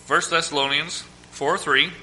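Hoarse preacher with a cold. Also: male voice, a little high, fairly even intonation, pushed and bright, rough recording. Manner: reads scripture slowly and emphatically, asks congregation to quote verses. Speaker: pastor. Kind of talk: sermon.

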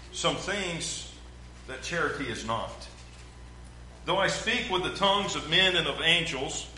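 A man's voice: a little high, pushed and bright, a little hiss or hum, low-quality recording. A man from the American South with a Southern accent, preaching a sermon with folksy jokes and mimicked sounds.

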